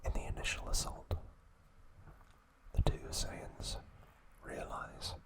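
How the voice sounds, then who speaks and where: Slow, whispering male ASMR host. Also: rough recording. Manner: hushed, unhurried, pausing between short phrases. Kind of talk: whispered ASMR trivia recap.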